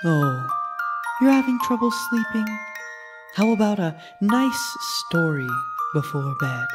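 Guy doing a soothing creepy bedtime storyteller, slow n coaxing, loud music all over it. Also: high voice, very animated, pitched above the performer's own voice, full character voice. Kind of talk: darkly comedic audio theatre acting.

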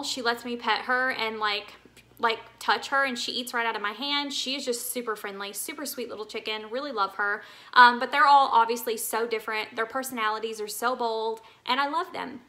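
Bright, high-voiced, enthusiastic woman vlogger. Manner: enthusiastic, gushing. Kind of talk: casual chat to viewers. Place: indoor living room, seated.

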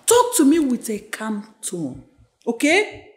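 A woman's voice: fairly high pitch, huge pitch swings, takes it slowly, exaggerated voice. A woman playing an indignant, domineering middle-aged village mother with a Nigerian accent in a film drama. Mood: outraged. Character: indignant, domineering village mother scolding her grown daughter. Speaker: actress. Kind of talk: emotional film drama acting. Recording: echoey room, music underneath.

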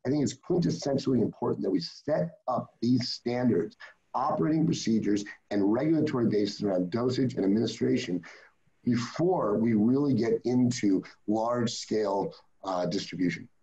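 A man's voice: mid-range voice, moderate pace, warm tone, muffled recording. A man in his forties speaking as a panelist over a video call, making earnest, formal points about regulation. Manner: earnest, formal policy talk.

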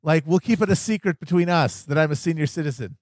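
Higher-voiced American man, podcast host. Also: fast, a little mellow, no room sound, clean studio sound. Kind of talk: casual satirical political chat.

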